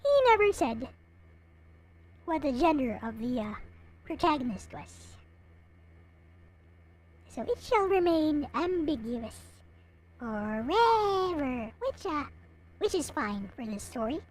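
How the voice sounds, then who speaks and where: A woman's voice: high-pitched, big pitch swings, slow pace, pauses often, darkish tone, slight background noise. A woman livestreamer chatting casually to her viewers in a hesitant, rambling way. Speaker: live streamer, host.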